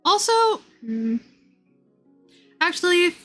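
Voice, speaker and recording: A woman's voice: very high-pitched, lively intonation, slow pace, bright, forceful tone. A woman game streamer chatting casually and commenting as she plays, informal and meandering as she thinks aloud. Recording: music playing behind the voice. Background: background music.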